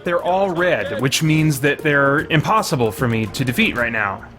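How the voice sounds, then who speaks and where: A man's voice: a little high, animated, normal speed, music in the background. A man giving casual video game commentary as a reviewer, thinking aloud in informal language with mild exclamations.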